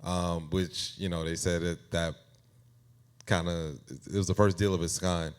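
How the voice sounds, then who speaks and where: A man with a deep, resonant voice talks casually as an interview guest. He works through his thoughts as he goes, with a halting, conversational delivery. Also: level tone, clear, resonant tone. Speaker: guest AI music creator.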